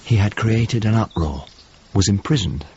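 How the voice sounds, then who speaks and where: An actor playing a thoughtful painter's model, narrating a radio drama in measured, descriptive phrases. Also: male voice, on the low side, expressive, a little mellow, slightly rough, heavily acted. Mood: wistful recollection. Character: thoughtful male painter's model grieving a lost friend. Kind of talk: radio drama, reflective first-person narration. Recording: muffled audio with music underneath. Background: music.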